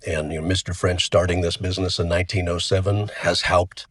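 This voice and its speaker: Man, middle-aged, very deep voice, head cold, casual interview guest, relaxed and chatty. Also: brisk, husky. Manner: relaxed and chatty, frequent restarts. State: has a cold, voice deeper than usual. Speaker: funeral home CEO, guest.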